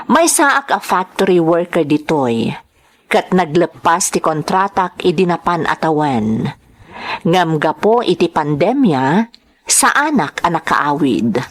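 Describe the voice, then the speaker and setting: A woman with an Ilocano accent hosting and narrating, reading greetings and a listener's letter aloud. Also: fairly low pitch. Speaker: host and narrator of a drama channel.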